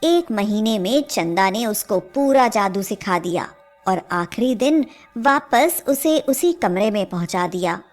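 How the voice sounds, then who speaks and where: A woman narrating a scripted fairy tale as a storyteller, in a measured manner. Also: a little high.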